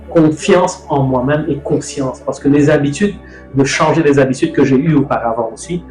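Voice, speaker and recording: A middle-aged man from Mauritius hosting a casual talk on food, rambling and correcting himself as he goes. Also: medium pitch. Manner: rambling, loose, self-correcting. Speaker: host. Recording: echoey room with music. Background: soft music.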